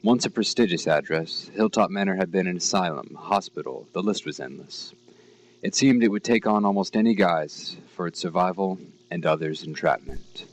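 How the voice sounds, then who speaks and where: A man narrating a scripted horror story in a measured, dramatic, literary manner. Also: low-pitched. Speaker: story reader.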